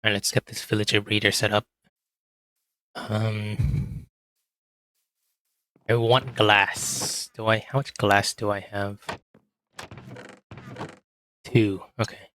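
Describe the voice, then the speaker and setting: A man with a hoarse voice, quietly talking himself through a game as he plays, in a relaxed, slow, muttering way. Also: fairly low pitch, no room sound. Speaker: gaming video host. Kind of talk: casual gameplay commentary.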